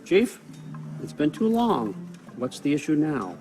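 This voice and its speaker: Wry, high-pitched old god-king. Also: big pitch swings, a little slow, a little mellow. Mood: biting authority. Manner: offhand, wry, talking like a busy boss. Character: sly, powerful old god-king, brisk, casually commanding. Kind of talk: naturalistic video game cutscene acting. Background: background music.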